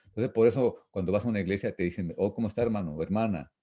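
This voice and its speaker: A man teaching an informal Bible lesson, reading and explaining verses in a repetitive, halting, didactic way. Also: lowish voice, mellow, lo-fi sound. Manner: didactic, repetitive, halting with frequent false starts.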